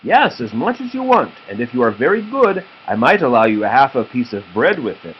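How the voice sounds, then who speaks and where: A man voicing a brisk, firm mother pig in a children's storybook. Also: mid-range voice, very animated, slightly rough, lo-fi sound.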